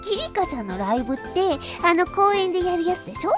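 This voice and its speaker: A woman's voice: very high-pitched, sing-song, fast, over music. A woman playing an easygoing, lazy but kindhearted teenage schoolgirl in an anime-style voice drama, cutesy, naive and breezy. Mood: blunt, cheerful.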